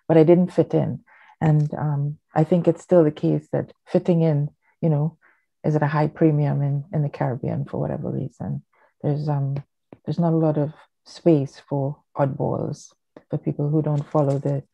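Low, gravelly woman on a dull video call. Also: dark tone. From Trinidad. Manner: chatty, rambling, with many fillers and restarts. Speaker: filmmaker giving a workshop. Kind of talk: casual talk on a video call. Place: living room at home. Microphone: laptop webcam on a video call.

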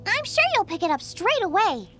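An eager young girl chef from a video game, with a very high voice that bounces around in pitch. She is cheerful, food-obsessed and breezy, with music playing behind her. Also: big pitch swings, normal speed, a bit bright, exaggerated voice. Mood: cheerful, confident. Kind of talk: scripted game dialogue.

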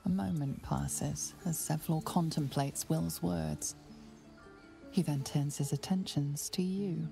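A woman narrating a game in a measured, detached way. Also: sits low, fairly even intonation, creaky, dark tone, faint music behind, exaggerated voice.

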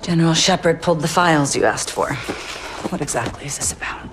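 Guarded American spy chief, middle-aged, gravelly fry, skeptical, cinematic game dialogue with clear ambient noise. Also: female voice, sits low, expressive, a little fast. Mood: cool, probing. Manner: cool, businesslike, skeptical. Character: shrewd, guarded female intelligence officer. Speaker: actor in a military game cutscene.

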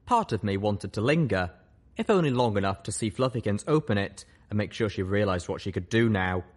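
A man's voice: on the low side, expressive, fairly quick. A man reading a scripted first-person story aloud as an audiobook narrator. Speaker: audiobook reader.

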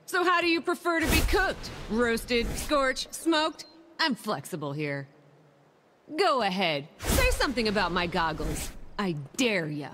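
A woman's voice: fairly high pitch, very animated, a little slow, background noise. A woman playing a brash, hotheaded young fire mage in a video game, with cocky, punchy delivery and long reverb. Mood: cocky, teasing. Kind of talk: video game voice pack lines.

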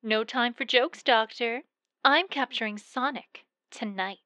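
A woman's voice: fairly high pitch, unhurried, bright, forceful tone, dry recording. A woman voicing a confident female bat thief, teasing and commanding in a fan audio drama. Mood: sly.

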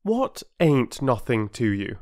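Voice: medium pitch, very animated, slow pace, a little mellow, pitched above the performer's own voice. A narrator voicing an anxious, forthright schoolboy from a children's storybook, protesting in a well-spoken way. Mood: alarmed. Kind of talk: children's storybook character reading.

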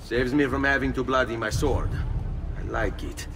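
A man's voice: mid-range voice, fairly even intonation, moderate pace, distant mic, background noise, close to an everyday voice. A male actor playing a cool, resolute assassin hero in a video game, with an Italian accent and a terse, self-assured manner. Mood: calm, determined. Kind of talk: video game cutscene acting.

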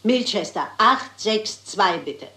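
An actress playing a shrewd, brisk elderly lady detective in a German crime radio play, polite and assured. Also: mid-range voice, lively intonation, echoey room, noisy background. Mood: brisk, determined.

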